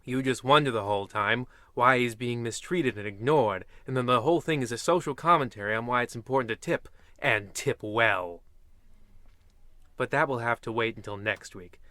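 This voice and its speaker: A man's voice: mid-range voice, moderate pace, sharp tone, no echo, natural voice. A man playing the droll host of an old-time radio mystery series, addressing listeners with tongue-in-cheek theatricality.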